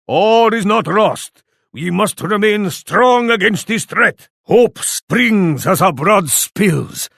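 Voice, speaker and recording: A man's voice: high-pitched, expressive, takes it slowly, vocal fry, a bit bright, no echo, studio quality, full character voice. An actor playing a proud, grim Japanese warlord in a video game, solemn and declamatory as he addresses troops and allies. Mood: defiant resolve. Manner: solemn, declamatory, speaks of himself in third person. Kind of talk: theatrical video game voice lines.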